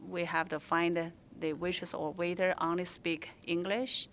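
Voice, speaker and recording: A woman's voice: fairly low pitch, level tone, a little slow, a bit bright, slight background noise. A woman with a Mandarin Chinese accent, speaking English as a second language while answering interview questions as a restaurant owner, with many fillers and restarts.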